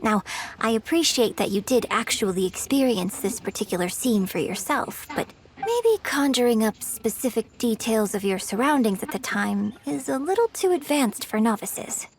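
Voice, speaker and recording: A woman's voice: on the high side, animated, moderate pace. A woman playing a poised young opera performer who coaches acting in a video game, articulate, theatrical, exacting but encouraging. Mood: thoughtful, gentle.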